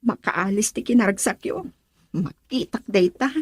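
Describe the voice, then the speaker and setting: A woman's voice: mid-range voice, normal speed, husky, dry recording. A middle-aged woman playing a prying, worried mother in a radio drama. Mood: teasing.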